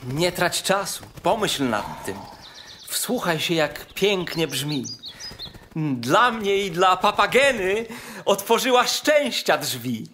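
An actor playing a merry, boastful fairy-tale bird catcher in a children's musical radio play, with a lilting theatrical delivery. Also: male voice, high voice, sing-song, slow pace, heavily acted. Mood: gentle, advising. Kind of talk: operatic singing and rhymed speech in a children's musical radio play. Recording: loud orchestral music behind.

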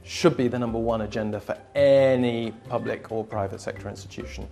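Fast-talking man with creaky voice. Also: lowish voice, steady tone, warm tone. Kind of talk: interview answers for a promotional film.

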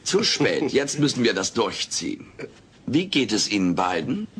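Brash furry alien joker, lively swooping intonation, theatrical and mock-grand, sitcom audio drama. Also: male voice, medium pitch, measured pace, a little hiss or hum. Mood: brash, showy. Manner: theatrical, pompous, cheeky delivery. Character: brash wisecracking furry male alien playing hotel host. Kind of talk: dubbed sitcom audio play acting.

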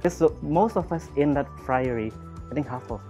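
Mellow-voiced friar, careful speech. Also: male voice, a little high, moderate pace, faint music behind. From Malaysia. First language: not English. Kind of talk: documentary interview. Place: indoor chapel room, seated.

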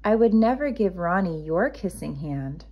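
A woman voicing a gentle, loving mother raccoon in a children's storybook, soothing and patient as she reassures. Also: on the low side, lively intonation, moderate pace, highs cut off. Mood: reassuring. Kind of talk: children's storybook read-aloud.

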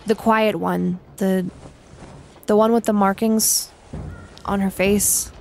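A woman playing a weary, guarded former dark army officer in a fantasy audio drama, speaking haltingly with sighs. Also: mid-range voice, fairly even intonation, creaky, a little hiss or hum. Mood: troubled, defensive. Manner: halting, sighing.

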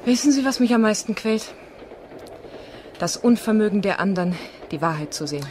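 A woman's voice: medium pitch, lively intonation, a little fast, darkish tone, a little hiss or hum, lightly acted. An actress playing a determined, troubled woman lawyer in a German radio crime drama, earnest, insistent and articulate.

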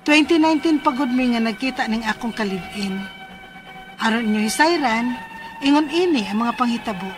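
A woman's voice: a little high, expressive, a little fast, music in the background, natural voice. An actress playing a firm, worried thirty-two-year-old mother in a Cebuano radio drama. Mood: earnest narrating.

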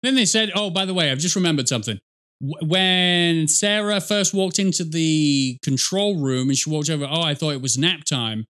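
A man's voice: a little high, expressive, normal speed, bright, forceful tone. A middle-aged man giving casual, sarcastic, nitpicky reaction commentary with some swearing. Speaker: reaction streamer. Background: game and cutscene audio with music.

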